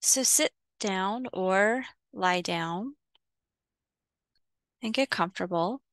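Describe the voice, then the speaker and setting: A woman leading a guided visualization in a soothing, instructional way, pausing between short phrases. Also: mid-range voice, fairly bright tone, no room sound, clean studio sound. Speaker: guided meditation host. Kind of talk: guided visualization, meditation narration.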